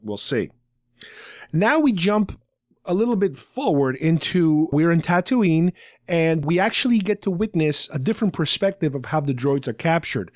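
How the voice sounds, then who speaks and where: A man's voice: a little high, dry recording, clean studio sound. A man hosting a podcast, casually retelling a story in a chatty fan discussion style. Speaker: podcast host.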